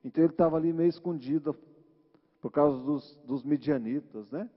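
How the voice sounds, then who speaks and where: A man's voice: a little high, fairly quick. A man with a Brazilian Portuguese accent preaching an informal sermon, teaching in a conversational way with frequent tag questions. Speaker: church preacher. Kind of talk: informal sermon retelling Bible stories. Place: large reverberant church hall. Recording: muffled, echoing church hall recording.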